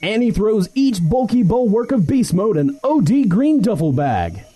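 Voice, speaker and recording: A man's voice: sits high, sing-song, normal speed, crowd noise. A man narrating a scripted comedy story in a mock-dramatic storytelling manner.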